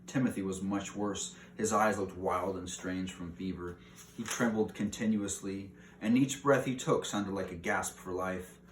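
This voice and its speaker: A man's voice: low-pitched, steady tone, measured pace, distant mic. A man reading a children's book aloud as narrator. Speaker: story reader. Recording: roomy echo, clear background hiss.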